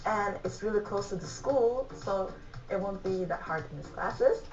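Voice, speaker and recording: A woman's voice: medium pitch, expressive, moderate pace, darkish tone, soft background music. Woman from norway, short plain answers, roomy sound, distant mic. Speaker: student interviewed in a video. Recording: roomy, distant, muffled video audio.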